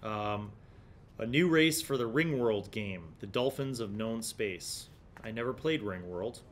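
An American man in his forties hosting a retro gaming review with relaxed, nostalgic, casual commentary. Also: measured pace. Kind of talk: casual commentary while leafing through an old magazine. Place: small indoor studio room. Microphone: large desk condenser microphone. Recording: clear low background rumble and noise.

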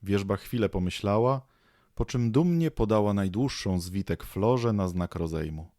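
A male narrator tells a scripted story for a radio play. His voice is low, and the recording is close and dry with no room sound. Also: lively intonation, normal speed, dark tone, no room sound.

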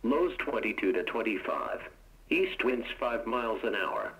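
A man's synthetic voice reading a weather forecast for a weather radio broadcast in a steady, even, monotone delivery. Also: lowish voice, measured pace, creaky, highs cut off.

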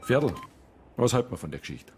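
An actor playing a calm, matter-of-fact police officer in a radio crime drama, measured and inquisitive. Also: male voice, mid-range voice, over music, natural voice. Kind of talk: naturalistic German radio crime drama acting.

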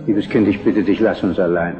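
A man's voice: sits low, steady tone, normal speed, music in the background, natural voice. An actor playing an aging, weary Prussian king with formal, courtly manners in a German historical radio drama. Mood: formal, firm. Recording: dull lo-fi radio play, echoing hall.

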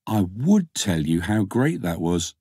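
A man's voice: sits low, very animated, a little slow, mellow, slightly rough, dry recording, studio quality, heavily acted. A man voicing a thoughtful, teasing woman lover in an audiobook, in an earnest, musing manner.

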